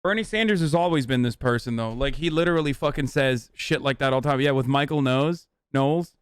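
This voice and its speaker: Streamer: smooth-voiced, profane, sarcastic man in his thirties, dry close mic. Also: mid-range voice, no room sound. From United States. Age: 30s. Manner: profane, sarcastic, quick banter. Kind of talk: casual live-stream reaction.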